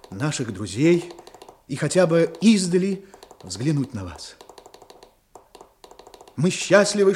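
An earnest seaside villager in a 1960s radio drama, declaiming formally in a highish voice. He speaks slowly with big swings of pitch, over faint noise. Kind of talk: 1960s radio play acting.

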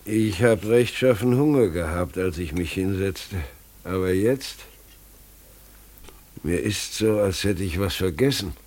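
A man's voice: sits low, unhurried, darkish tone. An actor playing a brooding middle-aged farmer and father in a German radio play, ponderous, uneasy and searching.

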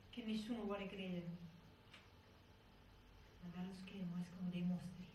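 A woman's voice: on the high side, a bit bright. An actress playing a bitter, delusional imprisoned militant woman in an intense, declamatory stage monologue. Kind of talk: theatrical monologue. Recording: echoey stage from far off, faint noise.

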